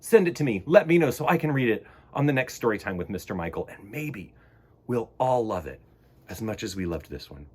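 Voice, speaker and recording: A man hosting a storytime for children, speaking to young viewers in an expressive, theatrical and earnest way. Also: on the low side, a little fast, husky. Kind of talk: expressive read-aloud and talk to young viewers.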